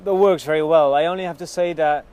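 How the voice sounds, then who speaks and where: A man's voice: fairly high pitch, fairly even intonation, fast, darkish tone, clean tone. A man with a non-native English accent, chatting casually as a vlog guest and often repeating words as he goes. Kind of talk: casual conversation. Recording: outdoor street noise.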